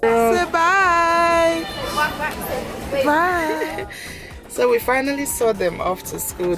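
A woman in her thirties talks straight to her handheld camera in an easy, heartfelt vlog style. Her voice sits at an ordinary middle pitch. She leans on fillers like "you know" and "like" as she shares her thoughts with her viewers. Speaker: mom vlogger. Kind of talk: casual vlog talk to viewers. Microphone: camera held at arm's length.

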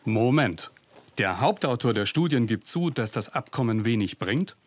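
A man's voice: medium pitch, expressive, moderate pace. A man reading a scripted investigative news report as a voice-over, with pointed, ironic emphasis. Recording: narration over loud music bed.

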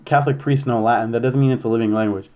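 A young man in his twenties, a podcast guest, explaining things quickly and animatedly over a video call. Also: mid-range voice, steady tone, darkish tone. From United States, Persian-speaking family. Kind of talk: casual podcast conversation.

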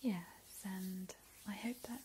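A woman whispering softly as a playful, sinister vampire hostess in an ASMR roleplay, calling the listener darling. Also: mid-range voice, slight background noise, close to an everyday voice. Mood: teasing. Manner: soft unvoiced whisper, slow and teasing. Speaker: ASMR host. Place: indoors at a kitchen table, making a Halloween treat. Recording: close whisper with loud crinkling wrappers and clicks. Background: crinkling packaging and clicks.

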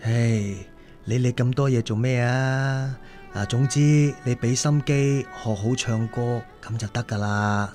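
A devoted working-class father voiced by a man in a radio play, mid-pitched, with a Hong Kong Cantonese accent. He is hesitant and apologetic, and music plays faintly behind him. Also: steady tone, measured pace, darkish tone, close to an everyday voice. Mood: weary, resigned. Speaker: radio drama actor. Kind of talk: radio drama acting.

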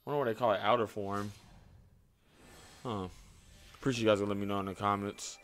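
A man's voice: on the low side, fairly even intonation, a little fast, fairly bright tone. A young man hosting a reaction video, talking casually and offhand as he comments on what he is watching. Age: young adult.

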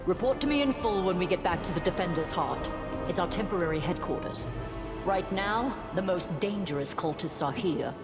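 A woman's voice: on the low side, animated, normal speed, lightly acted. A woman playing a stern, resolute military commander in a fantasy game, with a formal, grave and commanding manner. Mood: grim, businesslike. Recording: echoey reverberant hall, game music behind.

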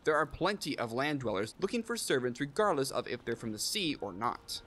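A man's voice: medium pitch, very animated, fairly quick, a bit bright. A man playing a greedy, callous slaver in a fantasy audio drama.

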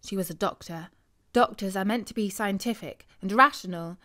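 A woman narrates a scripted story for children. Her voice sits at a medium pitch. She reads expressively, as a bedtime storyteller who acts out what the characters say. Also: normal speed, bright, forceful tone.

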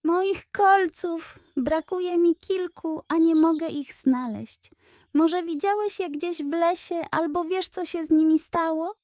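A woman's voice: very high-pitched, slow, a little mellow, dry recording, studio quality, pitched above the performer's own voice. A woman voicing a worried, sad little boy hedgehog, plaintive and earnest, in a children's story.

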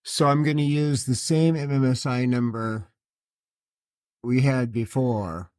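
A middle-aged man narrating a hands-on how-to in a deliberate, matter-of-fact way. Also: mid-range voice, steady tone, darkish tone. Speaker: host of a DIY boat install video.

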